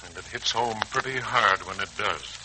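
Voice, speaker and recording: A man's voice: lowish voice, normal speed, lightly acted. An actor playing a middle-aged American husband and father, fretful and blustering, in a 1940s radio serial. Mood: worried. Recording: noisy 1940s radio recording.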